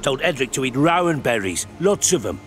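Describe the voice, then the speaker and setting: An actor playing a menacing rustic gang leader in a video game, with rough, ungrammatical country talk. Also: male voice, mid-range voice, big pitch swings, a little fast, soft background music, lightly acted. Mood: hostile, aggrieved. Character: menacing, rustic village thug leading a small gang. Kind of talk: video game cutscene acting.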